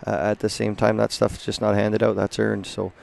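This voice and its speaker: A man in his thirties, a hockey coach giving a halting postgame interview at an indoor rink on a headset mic. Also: lowish voice, a little hiss or hum. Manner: flat, gravelly, halting with many restarts.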